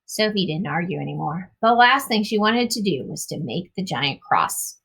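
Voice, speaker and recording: A woman reading a storybook aloud to children in an expressive narrating style. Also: lowish voice, moderate pace, gravelly, husky. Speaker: storybook reader.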